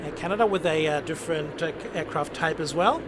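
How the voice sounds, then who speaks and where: High-pitched enthusiastic male game streamer. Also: brisk, noisy background. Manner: enthusiastic, repeats phrases for emphasis. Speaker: live stream host. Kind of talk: casual live game-stream commentary.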